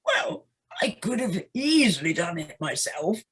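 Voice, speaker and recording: A woman's voice: medium pitch, big pitch swings, a little slow, fairly bright tone. A woman playing a boastful, gullible, easily indignant male toad in an amateur audio play, with a self-important manner.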